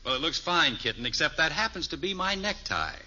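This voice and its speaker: A man's voice: a little high, sing-song, fairly quick. An actor playing a wry, easily exasperated American father and husband in a 1950s radio family sitcom. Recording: muffled vintage radio recording, faint noise.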